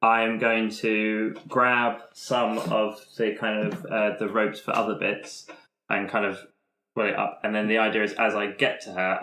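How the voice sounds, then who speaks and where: A man with a crisp, forceful edge to a lowish voice narrates his actions as a tabletop game player. The room around him rings with echo, and he makes up the description as he goes. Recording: roomy, echoing game-session recording.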